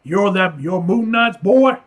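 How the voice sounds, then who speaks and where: A man's voice: high voice, lively intonation, takes it slowly. A man voicing a belligerent, aggrieved male street thug from a comic book, brash and confrontational.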